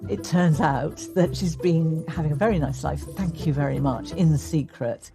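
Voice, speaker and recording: A woman's voice: deep voice, normal speed. A woman giving wry, colloquial commentary as a documentary interviewee. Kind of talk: conversational commentary. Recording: music clearly behind the voice.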